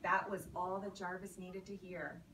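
A woman's voice: on the low side, little pitch movement, moderate pace. A woman, a teacher, reading a picture book expressively to young children and prompting them with questions as she projects to the group. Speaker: elementary school teacher. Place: elementary school classroom, indoors. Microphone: camera across the room. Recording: roomy, noisy classroom, distant camera mic. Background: children's voices and classroom noise.

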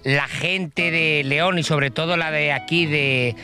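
A man's voice: a little high, steady tone, takes it slowly, sharp tone. A middle-aged man from La Mancha, an actor chatting in a casual interview outdoors on a handheld microphone. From La Mancha, Spain. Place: open field outdoors. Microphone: handheld reporter microphone. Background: music.

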